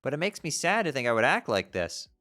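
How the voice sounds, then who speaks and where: A performer voicing a sad, despairing girl sprite who talks in a quiet, defeated way. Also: medium pitch, big pitch swings, brisk, faint music behind. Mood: mournful.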